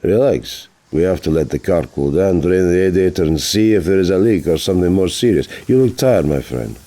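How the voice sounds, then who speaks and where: A man voicing a genial older Greek immigrant mechanic, relaxed and friendly, with a Greek accent, in an audiobook. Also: low voice, a little fast. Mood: reassuring.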